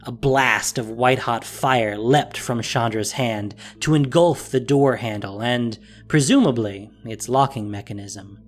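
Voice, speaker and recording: A man's voice: medium pitch, measured pace, hardly any pauses. A man reading scripted story narration as the narrator of an audio drama. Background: music.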